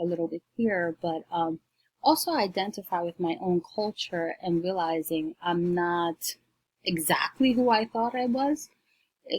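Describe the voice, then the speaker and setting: A woman, giving a class presentation at home with many ums and pauses; she is shy on camera. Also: lowish voice, a little mellow, breathy edge, no echo, poor audio. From New York, United States. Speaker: student presenter.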